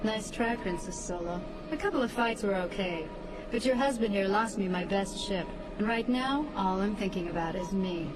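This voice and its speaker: An actress playing a tough, independent smuggler pilot with a brash, needling manner in a science fiction audio drama. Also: female voice, mid-range voice, normal speed, close to an everyday voice. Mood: firm, dismissive. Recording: clear spaceship ambience noise.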